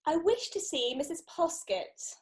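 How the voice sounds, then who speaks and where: A prim young English lady teacher, played by a woman in a stage farce. Her voice is very high and swoops freely, proper in diction and openly doting. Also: measured pace. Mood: prim, wistful. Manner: proper, expressive. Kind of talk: theatrical farce reading.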